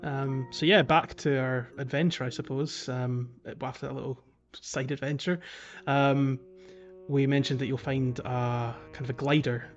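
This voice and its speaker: A man co-hosting a casual gaming podcast, explaining things in a halting, conversational way. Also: mid-range voice, steady tone, lo-fi sound, faint music behind. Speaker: podcast co-host. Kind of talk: casual video game podcast discussion.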